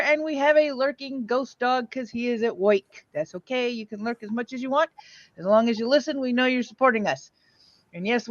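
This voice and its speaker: High-voiced woman hosting a casual stream. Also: a bit bright, no echo. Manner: playful, self-mocking. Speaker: livestream host. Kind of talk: casual chatty livestream greeting. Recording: dull, lo-fi microphone sound.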